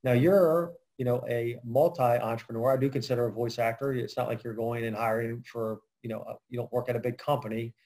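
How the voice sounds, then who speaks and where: A man's voice: medium pitch, fairly even intonation, a little fast, hardly any pauses, warm tone. Chatty male podcast host. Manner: casual, frequent fillers and restarts. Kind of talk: conversational interview.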